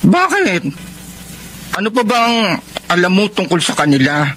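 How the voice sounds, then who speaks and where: A woman's voice: lowish voice, sing-song, normal speed, a bit bright. An actress in a Tagalog radio drama playing a chatty, loose-tongued, tipsy young woman, the casual and slangy best friend. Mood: tipsy, playful. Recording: noisy background ambience.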